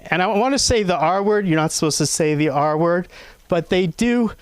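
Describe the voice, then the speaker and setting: A man's voice: high voice, expressive, moderate pace, background noise. A man doing open mic stand-up, rambling and self-deprecating with many fillers. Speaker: stand-up comic. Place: comedy club stage.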